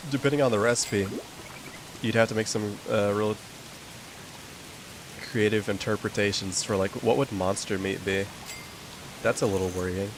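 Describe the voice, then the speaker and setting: A man's voice: fairly low pitch, steady tone, a little slow, pauses often, background noise. A young man streaming a game, chatting casually in a rambling way with many fillers. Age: young adult. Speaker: gaming streamer. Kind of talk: casual chat while playing a game.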